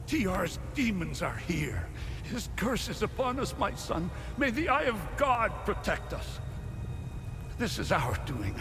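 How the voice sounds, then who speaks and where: A man's voice: sits high, full character voice. An actor playing a devout, fatherly older abbot in a video game, speaking in formal, pious and grave phrases. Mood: dread, pious. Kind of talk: dramatic game dialogue acting. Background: music.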